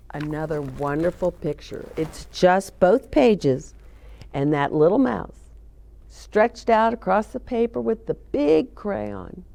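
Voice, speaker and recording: A woman reads a picture book aloud for young children. Her pitch swings in a lively way and she takes her time over the words. As a storytime host, she is expressive and adds chatty asides to her listeners. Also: creaky.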